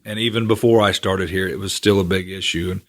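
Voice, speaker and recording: A man's voice: deep voice, fairly even intonation, a little fast, fairly bright tone. A man co-hosting a podcast, explaining technical points steadily with frequent fillers. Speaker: co-host, general manager. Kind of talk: casual explaining.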